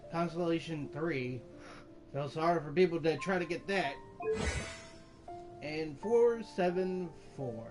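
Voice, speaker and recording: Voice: mid-range voice, low-quality recording. A video host giving casual, offhand gameplay commentary in short muttered reactions. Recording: loud game music behind voice. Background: video game music.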